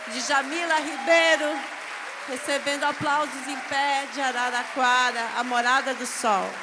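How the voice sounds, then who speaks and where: A woman's voice: sits high, steady tone, a little slow. A woman hosting a literary festival, making upbeat announcements to the audience. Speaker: festival host. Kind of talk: event announcements. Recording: hall reverb with crowd and noise.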